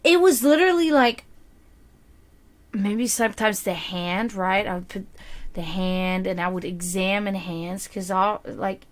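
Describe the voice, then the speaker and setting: Streamer, female, native German speaker, mid-pitched, chatty, rambling psychic reader. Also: unhurried. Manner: chatty, rambling, reads chat aloud. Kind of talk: casual livestream chat.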